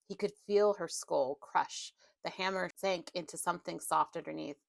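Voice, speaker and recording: A woman with a slightly low voice narrates a horror novel. She reads as an audiobook narrator, in a dramatic scripted style. The recording is dry and close, with little room around her. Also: normal speed, gravelly, no echo.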